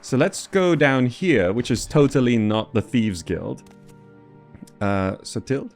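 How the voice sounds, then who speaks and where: A man's voice: mid-range voice, lively intonation, slow pace, a little mellow, soft background music. Man casually commentating a video game. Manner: hesitant, thinking aloud. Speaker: gamer presenting a game mod.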